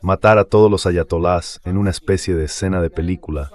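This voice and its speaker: A man's voice: low voice, steady tone, a little fast, darkish tone. A man's synthetic dubbing voice giving conversational political commentary as a guest on a show. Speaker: guest commentator, former intelligence officer.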